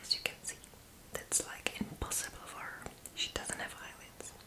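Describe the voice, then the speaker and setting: A woman in her twenties doing a whispered ASMR roleplay, speaking softly and casually, close to the mic in a small room. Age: 20s. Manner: soft, casual, unhurried whispering. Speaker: ASMR creator.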